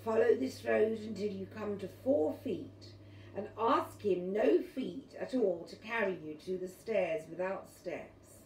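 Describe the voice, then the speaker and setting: A woman's voice: medium pitch, lively intonation, unhurried, darkish tone. A woman voicing a friendly, enigmatic fairy in a children's storybook, inviting and riddling. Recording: roomy, distant mic, clearly noisy.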